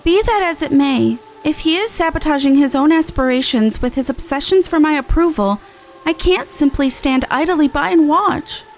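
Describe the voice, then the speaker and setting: A woman voicing a composed, formal older sister from a fantasy game, speaking in a measured, earnest way. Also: sits high, expressive. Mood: earnest, concerned. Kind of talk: reading game dialogue aloud in character.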